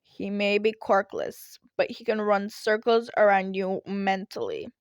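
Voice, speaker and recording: A woman's voice: medium pitch, measured pace, dry recording, clean studio sound, natural voice. Woman voicing a weary, sardonic male teacher. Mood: dismissive, irritated. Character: tired, irritated, dismissive male teacher.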